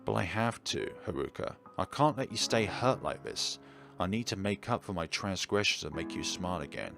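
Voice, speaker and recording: A man's voice: sits low, animated, moderate pace, vocal fry, over music. A man reading an anguished, self-blaming teenage schoolboy who pleads and narrates his own story in a visual novel. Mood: pleading.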